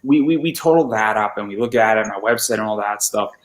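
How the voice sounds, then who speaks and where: Echoey, low-voiced man in his twenties. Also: fast talker, a loud crowd. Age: 20s. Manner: stammers, repeating words as sentences start. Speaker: podcaster. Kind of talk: casual conversational interview. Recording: roomy, echoey sound.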